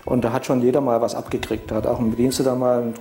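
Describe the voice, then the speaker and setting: A man's voice: mid-range voice, little pitch movement, rapid-fire, vocal fry, mellow, roomy sound, slight background noise. A man giving a spontaneous spoken account in an interview.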